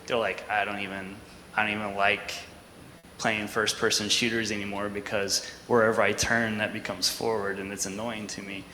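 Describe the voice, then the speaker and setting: A man with a low, sharp voice explains things at a conference, leaning on examples and "like". He sounds as if in a big echoing hall with a faint hiss. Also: little pitch movement, moderate pace. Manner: explanatory, uses everyday examples, hedges with "like". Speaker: conference panelist. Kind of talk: answering questions on stage. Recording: echoey hall with faint noise.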